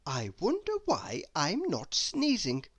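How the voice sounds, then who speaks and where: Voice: medium pitch, huge pitch swings, slow, slightly rough, no room sound, exaggerated voice. A storyteller voicing a puzzled, fed-up little man from a children's storybook in a plain, wondering way.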